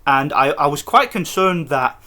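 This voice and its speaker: Man, Scottish-born, vlogger, casual review, excited, rambling, tense bright tone. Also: on the high side, measured pace. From Scotland. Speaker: boxing vlogger. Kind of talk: casual post-fight review.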